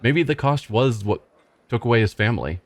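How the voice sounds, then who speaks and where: A man's voice: medium pitch, animated, fairly quick. A man hosting a let's play, narrating and questioning characters with dry, skeptical asides. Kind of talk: gameplay commentary and reading dialogue. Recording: faint game music behind.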